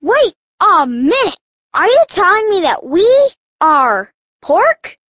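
A performer voicing a small, earnest, excitable young pig in a children's audiobook, with emphatic delivery. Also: extremely high pitch, slow, no echo, full character voice. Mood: horrified. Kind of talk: audiobook character acting. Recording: dull, lo-fi audiobook sound.

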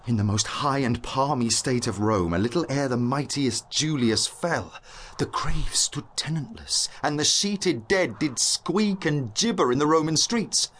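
A man's voice: a little high, fairly bright tone, slight background noise. An actor playing a learned, earnest young nobleman on night watch, declaiming verse in a dramatised Shakespearean audiobook. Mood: ominous, solemn. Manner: eloquent, declamatory verse delivery.

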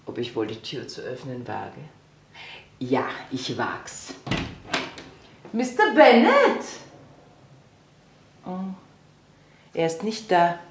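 An actress playing an excitable, theatrical middle-aged mother bent on marrying off her daughters, in a radio play. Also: extremely low pitch, slow, pauses often, echoey room, slight background noise, full character voice. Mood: fretful. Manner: effusive, theatrical exclamations and scolding. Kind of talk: German radio play acting.

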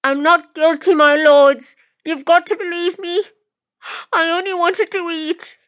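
A woman's voice: high-pitched, very slow, pushed and bright, no room sound, pitched above the performer's own voice, heavily acted. A woman voicing a desperate, pleading, poor condemned mother in a fantasy audiobook story. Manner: pleading, stammering.

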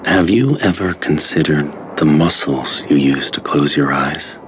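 A man playing a calm, controlling hypnotist who narrates a guided treatment tape in a deliberate, soothing manner. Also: extremely low pitch, a little hiss or hum. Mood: soothing, hypnotic. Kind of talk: guided hypnosis instructional tape in an audio drama. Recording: dull lo-fi cassette-style sound. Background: faint music.

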